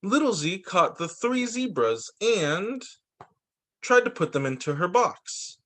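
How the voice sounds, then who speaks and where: رجل يقرأ القصص للأطفال ببطء شديد وتنغيم متنوع. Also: male voice, high voice, sing-song, takes it slowly, fairly bright tone. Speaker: teacher reading picture books to children. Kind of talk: storytime read-aloud for young children.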